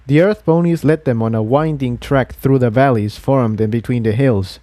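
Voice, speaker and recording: Male narrator, mellow tone, medium pitch, dry close sound, scripted story reading. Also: normal speed, dry recording. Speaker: fan fiction narrator.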